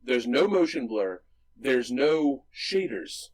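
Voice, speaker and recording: A man's voice: mid-range voice, very animated, takes it slowly, a little mellow, husky, rough recording. A man co-hosting a movie podcast, explaining film visuals enthusiastically in casual chat, with frequent restarts and tag questions. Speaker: podcast co-host.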